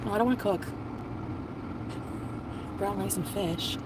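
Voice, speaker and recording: Brief: woman, 40s, ranting streamer, somewhat high voice, off-mic, with heavy traffic noise around. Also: measured pace, recorded from a distance. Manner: complaining, ranting. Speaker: livestreamer. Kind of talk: live-stream rant.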